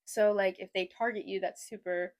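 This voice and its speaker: A woman's voice: medium pitch, steady tone, normal speed, darkish tone. A young woman from Sweden with a slight Southern US twang, hosting a podcast in a rambling, chatty way with lots of hedging. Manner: rambling, chatty, hedges a lot. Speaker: podcast host. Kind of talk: casual chat.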